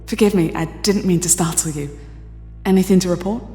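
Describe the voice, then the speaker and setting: A woman's voice: lowish voice, a little fast, warm tone, lightly acted. A woman playing a weary, self-doubting telepath in an audio drama, with a reserved, polite and careful delivery. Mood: concerned, polite. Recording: echoey hall reverb, music underneath.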